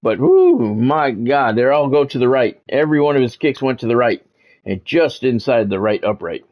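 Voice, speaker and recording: Deep-voiced man, dull lo-fi sound. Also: sing-song, normal speed. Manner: rambling, conversational. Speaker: video game streamer and host. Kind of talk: casual commentary over a college football video game. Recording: dull lo-fi audio with music behind.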